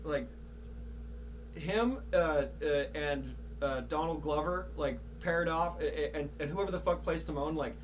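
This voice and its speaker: Man, slightly high voice, casual podcast host, profane, halting stop-start delivery, humming background noise. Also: low-quality recording. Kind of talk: casual chat. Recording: audible background noise and hum.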